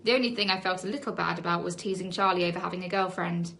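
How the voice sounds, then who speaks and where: A woman teacher reading a children's book aloud in an animated storybook style, leaning on key words with dramatic emphasis. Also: on the low side, fast talker, vocal fry.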